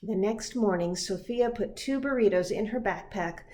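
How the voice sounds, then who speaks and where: A woman pastor reading a children's picture book aloud in an expressive storytelling style. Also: medium pitch, normal speed.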